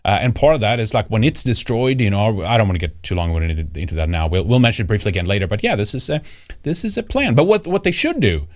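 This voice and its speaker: A man's voice: on the low side, animated, fast talker. A man co-host in casual commentary chat, rambling with fillers and restarts. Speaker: show co-host.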